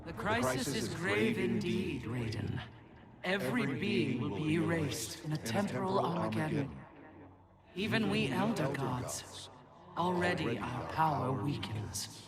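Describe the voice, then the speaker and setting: An actor playing an ancient, authoritative god in a video game, giving counsel in a grave, formal way. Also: male voice, on the high side, expressive, measured pace, over a noisy crowd. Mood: grave, commanding. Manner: formal, portentous. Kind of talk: video game cutscene acting. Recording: loud music behind.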